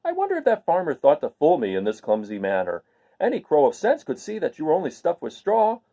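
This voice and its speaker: A man's voice: a little high, huge pitch swings, fairly quick, mellow, full character voice. A man voicing a shrewd, knowing old crow who lectures in a storybook reading. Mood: scornful. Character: old crow, shrewd, scornful yet kindly adviser.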